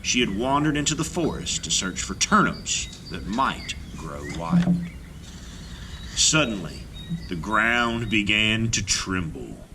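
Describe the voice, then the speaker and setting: A man reading a children's folktale aloud as scripted narration, with dramatic delivery and hushed phrase endings. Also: lowish voice, big pitch swings, takes it slowly, noisy background. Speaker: storyteller, children's read-aloud host. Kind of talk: expressive scripted storybook narration.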